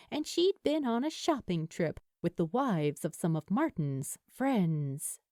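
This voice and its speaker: A woman reading a story aloud as a narrator, in a measured, expressive storytelling manner. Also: fairly high pitch, no room sound, clean studio sound. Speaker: fan fiction narrator.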